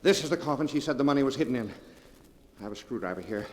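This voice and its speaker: An actor playing a greedy, impatient, domineering middle-aged husband in a brusque, theatrical 1940s radio horror style. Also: male voice, mid-range voice, lively intonation, very fast, close to an everyday voice. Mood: grim, dismissive. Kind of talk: 1940s radio drama acting. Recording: noisy 1940s radio broadcast, cavernous reverb.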